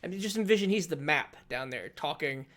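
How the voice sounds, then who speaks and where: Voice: medium pitch, lively intonation, fairly quick, fairly bright tone. A dungeon master hosting a casual tabletop game stream, joking and self-deprecating, often stammering and restarting. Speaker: dungeon master and show host.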